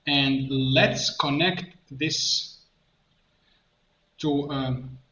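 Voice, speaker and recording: A man presenting an improvised software tutorial, thinking aloud haltingly as he works at a computer. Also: mid-range voice, fairly even intonation. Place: indoor room at a computer. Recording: dull, echoey room, clear background noise. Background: keyboard typing and mouse clicks.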